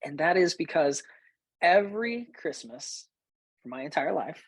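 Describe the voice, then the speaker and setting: Man, late thirties, coaching show host, rough-edged voice, dry sound, conversational, emphatic storytelling. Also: a little high, animated, unhurried, no echo, rough recording. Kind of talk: personal storytelling to listeners.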